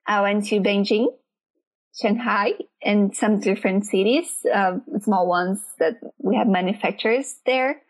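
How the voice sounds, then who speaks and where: A Brazilian woman speaking English as a second language, answering interview questions in a relaxed chat and often pausing to search for words. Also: medium pitch, low-quality recording. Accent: Brazilian Portuguese. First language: Portuguese.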